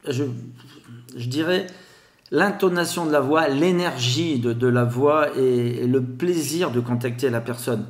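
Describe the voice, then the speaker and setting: A man with a Grenoble accent, a guest explaining telemarketing in a podcast interview, with a lively sing-song way of talking. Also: mid-range voice, a little slow, echoey room. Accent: Grenoble French.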